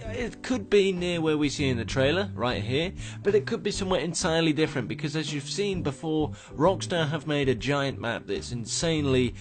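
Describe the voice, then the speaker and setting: A man hosting a gaming show, his voice slightly croaky from just waking up as he rambles through screenshot commentary with many restarts. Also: a little high, lively intonation, fairly quick, hardly any pauses, over music. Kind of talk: casual commentary on game screenshots.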